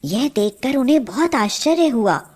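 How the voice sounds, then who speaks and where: A woman narrating a scripted fairy tale as a storyteller, in a measured manner. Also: high voice, expressive, darkish tone.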